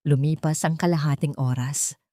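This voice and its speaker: Low-voiced woman narrating a drama. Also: fairly even intonation, moderate pace, warm tone, no room sound, clean studio sound. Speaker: storyteller.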